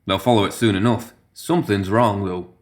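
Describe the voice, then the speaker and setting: A man's voice: fairly low pitch, steady tone, moderate pace, darkish tone, lightly acted. A man voicing a fierce, restless, suspicious demon woman in a story reading, terse and discontented. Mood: wary.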